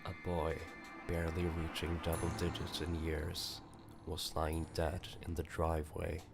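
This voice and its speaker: A man giving grave, deliberate scripted narration of a dramatic visual novel. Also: extremely low pitch, monotone, vocal fry, over music.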